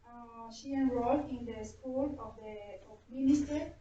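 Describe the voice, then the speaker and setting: Halting woman presenter in a very echoey hall. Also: a little high, mellow. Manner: halting non-native English, apologetic. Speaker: presenter introducing guest speakers. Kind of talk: reading prepared introductions aloud. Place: echoey indoor hall at a live event. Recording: very echoey hall, distant muffled mic, faint noise.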